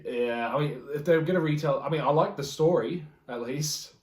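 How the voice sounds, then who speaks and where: A man's voice: on the high side, moderate pace. A man hosting a casual group discussion in a hesitant, conversational way. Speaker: podcast host. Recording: roomy echo, distant from mic.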